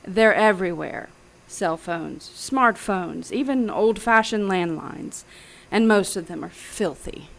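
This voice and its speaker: Casting: power-hungry woman scientist villain for audio thriller; heavy vocal fry, smug menace, theatrical precision. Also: mid-range voice, slow, a bit bright, low-quality recording. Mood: smug, boastful. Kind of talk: audio drama acting, detective thriller.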